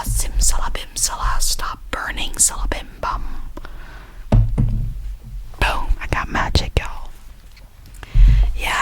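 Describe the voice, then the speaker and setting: A young woman vlogging in a whisper, rambling, giggly and self-conscious as she talks to her viewers. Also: rough recording. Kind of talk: whispered ASMR vlog.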